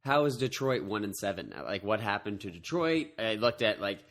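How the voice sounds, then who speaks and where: A man's voice: fairly low pitch, big pitch swings, brisk, fairly bright tone. A man co-hosting a podcast in casual chat, rambling with lots of fillers and restarts. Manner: rambling, full of fillers and restarts, laughs a lot. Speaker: podcast co-host back from a trip.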